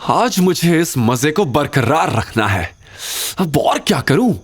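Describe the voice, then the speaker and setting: A man's voice: on the high side, sing-song, takes it slowly, slightly rough, voice pushed up, full character voice. A man voicing an arrogant, vengeful villain in an audio drama, gloating and giving orders. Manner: gloating, commanding. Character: vengeful, gloating male villain, arrogant city gang boss.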